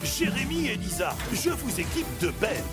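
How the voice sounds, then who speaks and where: A woman voicing a kindly, excitable old grandfather truck in a children's cartoon, theatrical and encouraging. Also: medium pitch, normal speed, close to an everyday voice. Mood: eager. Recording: loud music under the voice.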